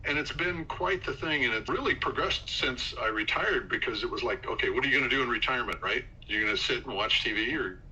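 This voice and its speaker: A man's voice: medium pitch, animated, fairly quick, few pauses, bright, forceful tone, a little hiss or hum, low-quality recording. An older retired man on a video call, introducing himself with genial stories and dry humor. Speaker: guest, author. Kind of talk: casual chat.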